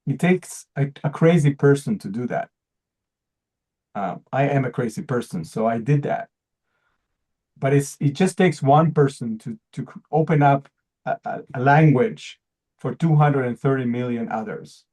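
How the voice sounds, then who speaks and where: A man's voice: medium pitch, normal speed, darkish tone. A man whose first language is Hebrew gives an earnest presentation, often repeating words as he looks for the phrasing. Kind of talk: pitch presentation to an audience.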